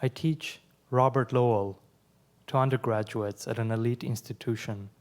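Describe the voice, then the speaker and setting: A young adult man from Iran, whose first language is Persian, reads his poems aloud in a measured way, with quiet asides between them. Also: mid-range voice, fairly even intonation. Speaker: poet. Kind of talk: live poetry reading.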